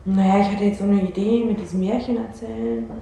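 A woman's voice: mid-range voice, fairly even intonation, quick pace, gravelly, mellow, close to an everyday voice. An actress playing an unsettled, insecure young woman in a naturalistic radio play, talking hesitantly and interrupting herself. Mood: unsure, hesitant. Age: young adult. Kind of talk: naturalistic radio drama dialogue. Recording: roomy sound with faint noise.

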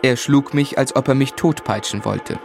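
An actor playing a reflective grown man who narrates his unhappy childhood in a German radio play, measured and grave. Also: mid-range voice, gravelly, loud music behind the voice, close to an everyday voice. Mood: pained.

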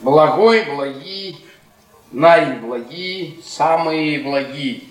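A man's voice: a little high, lively intonation, very slow, reverberant, low-quality recording. An actor playing an embittered, mocking middle-aged history professor in a radio play, needling his listener and theatrically repeating and stretching words. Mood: bitterly mocking. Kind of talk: Russian stage-style radio play acting.

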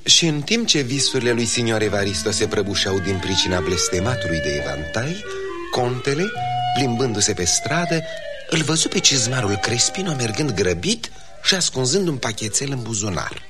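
An actor as an expressive, theatrical narrator telling a classic comedy for radio theatre. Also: male voice, medium pitch, lightly acted. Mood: wry storytelling. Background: music.

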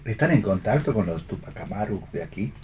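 Guy on radio panel, dark soft-edged voice, halting casual talk, some background noise. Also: fairly low pitch, animated. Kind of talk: casual round-table chat.

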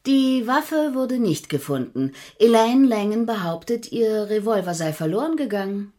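Casting: woman playing TV crime-special presenter, formal broadcast interviewing style, very animated intonation, radio drama. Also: mid-range voice, normal speed, close to an everyday voice. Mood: formal, probing.

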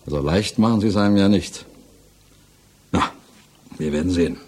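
Low, husky defence lawyer. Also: male voice, normal speed, a little mellow, faint music behind. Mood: sober, resigned. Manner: conversational, hesitant asides, frank. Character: world-weary defence lawyer, chatty yet bluntly pessimistic. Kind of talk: 1960s German radio drama acting. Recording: mono 1960s radio recording.

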